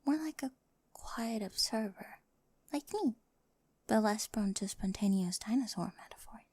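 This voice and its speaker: A woman playing a quirky, nerdy high school girl with a crush, in an ASMR roleplay with awkward, eager rambling and whispery asides. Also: lively intonation, measured pace, dark tone, husky, no echo. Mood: nerdy, confiding. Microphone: close microphone, dry.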